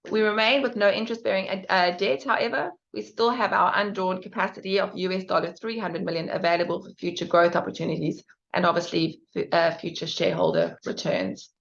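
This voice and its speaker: A woman's voice: on the low side, a little fast, gravelly. A woman presenting financial results as an executive, reading figures formally and carefully with small stumbles. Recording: dull, band-limited conference call audio.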